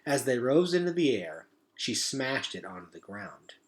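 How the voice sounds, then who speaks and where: A man reads an adventure novel aloud in a mid-pitched voice. His delivery is very animated and full of suspense, swinging up and down like a storyteller holding young listeners. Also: normal speed. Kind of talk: dramatic children's novel read-aloud.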